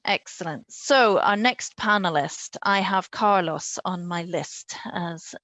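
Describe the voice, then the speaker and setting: Low-voiced woman moderating a panel. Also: takes it slowly, fairly bright tone, no echo.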